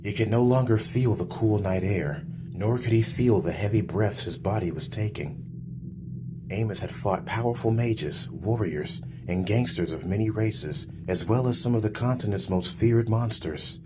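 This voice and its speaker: Low-voiced man narrating a fantasy tale. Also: moderate pace, noisy background. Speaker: audiobook narrator. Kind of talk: scripted fantasy story narration.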